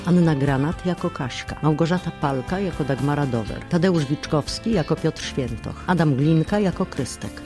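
Lady announcer reading credits and sponsors, steady formal tone, music clearly under her. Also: low-pitched. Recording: over music bed.

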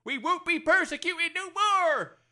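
A man's voice: extremely high pitch, animated, a little slow, pushed and bright, full character voice. A man playing a cocky, defiant village father in an audio comedy drama, blustering and colloquial.

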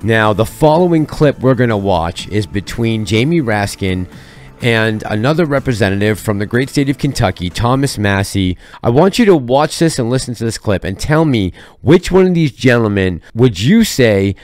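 A man in his thirties rants in a heated, sarcastic tone as the host of his own market commentary channel. His voice sits at an ordinary middle pitch, picked up close on a headset mic. Faint music runs underneath. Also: lively intonation, moderate pace, darkish tone. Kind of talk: fired-up commentary vlog. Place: small home studio with colored lights. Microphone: headset with boom mic.

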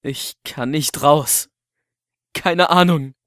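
A man playing a lonely, apologetic man trapped at home in a horror audiobook, halting and resigned. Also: fairly high pitch, fairly bright tone, dry recording, studio quality.

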